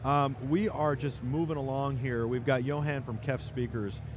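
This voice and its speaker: A man's voice: medium pitch, fairly even intonation, moderate pace. Upbeat male live show host. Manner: upbeat and promotional. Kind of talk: live tech show hosting. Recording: noisy trade show floor.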